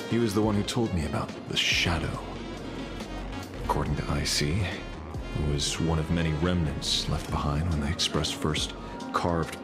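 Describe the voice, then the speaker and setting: Deep-voiced, calculating rebel leader. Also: male voice. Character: calm, calculating man, former rebel leader and schemer. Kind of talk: video game story dialogue. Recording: loud music under the voice.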